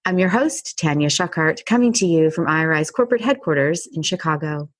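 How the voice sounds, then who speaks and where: A woman's voice: low voice, lively intonation, brisk, no echo. A woman hosting a podcast interview in a conversational, presenting style. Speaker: podcast host.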